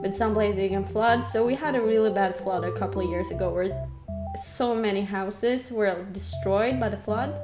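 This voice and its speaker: A young woman from Norway chatting casually on a podcast, unhurried and laughing often. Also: mid-range voice, music in the background. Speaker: podcast co-host.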